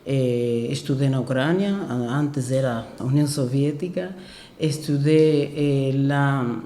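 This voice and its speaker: A Cuban-accented woman in her sixties talks hesitantly, often trailing into fillers and restarts. Her voice is warm and mellow. It sounds roomy and echoey. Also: a bass voice, a little slow. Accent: Cuban Spanish. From Cuba. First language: Spanish. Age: 60s. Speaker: guest. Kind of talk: podcast interview, recalling her career.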